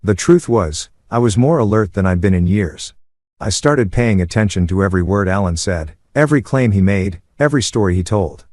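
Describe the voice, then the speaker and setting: A man narrating a first-person story in a steady, measured way. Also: lowish voice, no room sound, studio quality.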